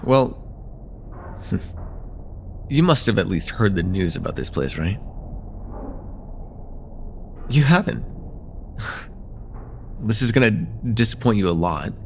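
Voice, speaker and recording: A man's voice: fairly low pitch, lively intonation, slow, vocal fry, long pauses, a little hiss or hum, full character voice. A young man playing a friendly, slightly nervous college student in a casual, stammering slice-of-life ASMR roleplay chat. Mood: disappointed, wistful. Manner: hesitant, stammering, self-deprecating, casual.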